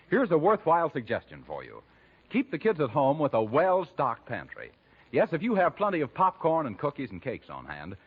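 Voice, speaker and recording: An American man with a husky voice, announcing in the emphatic, scripted sales style of 1940s radio. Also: medium pitch. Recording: dull 1940s radio recording.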